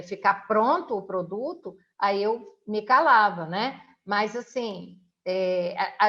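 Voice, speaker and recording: Brazilian female interviewer, unhurried and reflective, mid-pitched voice, slightly muffled audio. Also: fairly bright tone. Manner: reflective, measured, with tag questions. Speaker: interviewer, theatre director. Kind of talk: conversational interview talk. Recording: dull, lo-fi audio.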